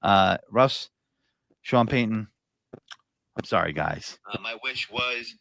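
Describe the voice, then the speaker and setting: A man's voice: fairly low pitch, lively intonation, slow pace, creaky, a bit bright, a little hiss or hum, rough recording. A man hosting a casual live sports podcast, joking and loose, with plenty of fillers. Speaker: podcast host.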